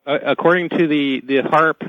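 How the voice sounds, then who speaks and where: Halting man on a dull radio line. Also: on the high side, no room sound. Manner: halting, repeats words. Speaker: radio interview guest. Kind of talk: informal technical explanation in an interview. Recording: dull band-limited radio call audio.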